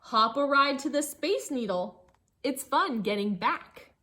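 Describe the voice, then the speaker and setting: Female teacher for children's picture-book read-aloud. Slightly high voice, lively singsong intonation, engaging asides to young listeners. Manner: singsong storybook phrasing, pausing to engage listeners.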